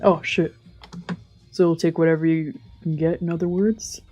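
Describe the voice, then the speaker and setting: A woman streamer talking casually and swearing a little as she plays and reads out a visual novel, with a husky voice. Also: low voice, fairly even intonation, moderate pace, dark tone. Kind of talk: casual let's-play with dramatic read-aloud translation. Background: game music faintly behind.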